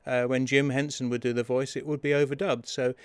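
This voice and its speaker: A man's voice: medium pitch, flat delivery, brisk, clear, resonant tone, no room sound, studio quality. A British man, a film puppeteer, telling anecdotes in a casual interview and laughing often. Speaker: puppeteer and guide-voice performer.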